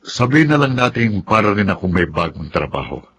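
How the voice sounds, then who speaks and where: A man acting in a Filipino radio drama as a gentle, resigned middle-aged father. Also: on the low side, big pitch swings, fairly quick, noisy background. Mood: reassuring.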